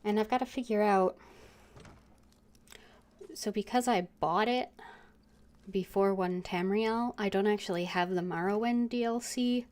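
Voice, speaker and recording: A young woman streamer chatting casually over her gameplay, with a mid-pitched, ordinary voice. She trails off and hesitates with fillers as she thinks aloud. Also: measured pace, long pauses, a little mellow.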